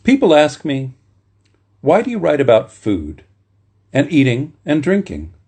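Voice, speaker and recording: Male literary reader, mid-pitched and very expressive, deliberate phrasing, informal bedtime-story delivery with a soft rasp. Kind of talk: expressive literary reading aloud with informal asides.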